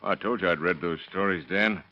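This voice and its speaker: An actor playing a calm, skeptical police detective, firm and dry, in 1930s radio drama style. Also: male voice, deep voice, a little fast, natural voice. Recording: muffled vintage radio recording.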